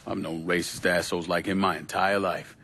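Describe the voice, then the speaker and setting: A man's voice: low-pitched, level tone, a little fast, pushed and bright, full character voice. A man playing a hard, vengeful young war veteran in a video game, with a blunt, street-tough delivery. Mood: grim resolve. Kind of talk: cinematic video game acting.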